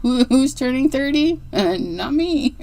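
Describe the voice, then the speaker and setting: Slow, chatty woman in her forties. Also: high voice, lively intonation, warm tone, no room sound. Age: 40s. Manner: chatty, rambling, gushing over cute things. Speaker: card-making livestream host. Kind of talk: casual livestream craft demo.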